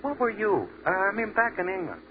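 An actor playing an easygoing, plain-spoken American army sergeant who was once a plumber, in 1940s radio acting. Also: male voice, high-pitched, huge pitch swings, brisk. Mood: puzzled. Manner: casual, plain-spoken, folksy. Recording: tinny noisy 1940s radio broadcast.

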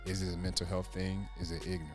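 Deep-voiced man rambling on a podcast. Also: level tone, fast. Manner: rambling, loose, repeats "you see what I'm saying". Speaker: podcast host. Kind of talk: casual chat. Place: small room, group podcast around a table. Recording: music playing in the background.

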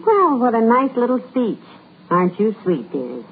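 Teasing, warm radio-comedy wife, tinny old recording with faint hiss. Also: female voice, fairly high pitch, big pitch swings, normal speed. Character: affectionate, wry middle-aged wife.

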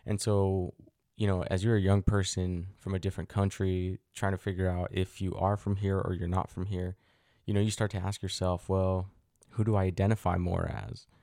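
Deep-voiced reflective man hosting a podcast. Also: flat delivery, normal speed, mellow, dry recording, clean studio sound. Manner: thoughtful, poses rhetorical questions, frequent fillers. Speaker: podcast host. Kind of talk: reflective solo podcast monologue.

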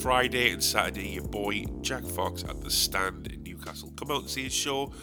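Guy doing a solo podcast from his bedroom, snotty from a cold, jokey and self-mocking, kinda low voice. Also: moderate pace, music in the background. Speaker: podcast host and stand-up comedian. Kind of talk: solo rambling podcast chat.

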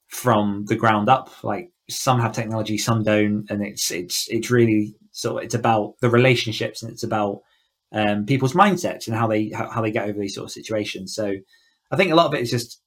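A man speaking casually and reflectively as an interview guest, feeling out his phrasing in a thoughtful way. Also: lowish voice. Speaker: guest author on a podcast.

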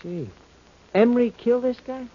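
An actor playing a dim, admiring sidekick in a 1940s radio drama, talking in naive, stammering slang. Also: male voice, very high-pitched, normal speed. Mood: eager, puzzled. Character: dim, eager, admiring sidekick, adult man. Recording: dull, noisy vintage radio recording.

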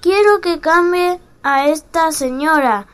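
High-pitched cheeky big bad wolf, acted out. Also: takes it slowly, a bit bright, exaggerated voice. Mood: whiny, demanding. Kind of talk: comic fairy-tale audio drama.

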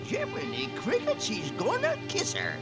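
An excitable little cartoon dwarf, childlike and comic. The voice is extremely high and leaps all over, with loud music behind it in an old radio play. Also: male voice, normal speed, heavily acted. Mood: excited, joyful. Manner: stammering, exclaiming. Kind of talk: 1940s radio cartoon-style acting.

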